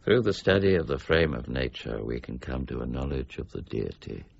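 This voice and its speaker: Deep, creaky, brooding scholar. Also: male voice, lively intonation, normal speed, dull audio, full character voice. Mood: brooding, intense. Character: brooding, proud, irascible ageing English scholar, stern mint warden. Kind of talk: period radio drama acting.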